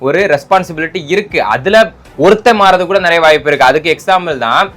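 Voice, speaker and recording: Rapid-fire man doing political commentary. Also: a little high, fairly bright tone. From Tamil Nadu, India. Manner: argumentative, mocking, peppered with rhetorical questions. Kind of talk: casual political roast commentary.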